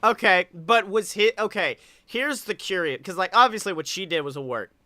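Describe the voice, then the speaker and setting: A man's voice: high-pitched, expressive, normal speed, bright, forceful tone, no room sound, studio quality. A young man hosting a podcast with casual, profane banter. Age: young adult. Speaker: podcast host.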